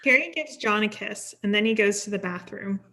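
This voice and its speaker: A woman's voice: medium pitch, a little fast, pushed and bright. A woman reading scripted screenplay stage directions at a table read.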